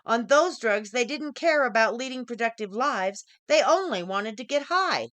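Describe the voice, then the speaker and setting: Stern storybook mother, played by a woman reader, exasperated and lecturing, lively pitch swings, dry recording. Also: moderate pace, dry recording. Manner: stern, explanatory. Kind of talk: acted dialogue in short story reading.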